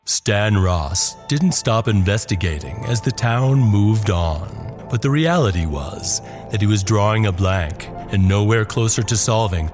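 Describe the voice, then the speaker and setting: A man narrating a scripted horror story, with dramatic pauses and drawn-out phrases. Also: on the low side, expressive, darkish tone, soft background music.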